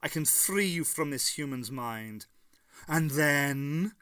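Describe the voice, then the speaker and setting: A man voicing a calm, commanding time traveller. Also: fairly high pitch, slow, no echo, clean studio sound. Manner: calm, positive, persuasive.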